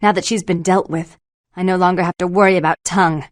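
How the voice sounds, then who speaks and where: A woman's voice: on the low side, steady tone, a little fast, no echo, clean studio sound, natural voice. A woman playing a prim, cold, controlling businesswoman in a video game, speaking in a clipped, formal, haughty way. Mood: cool, commanding.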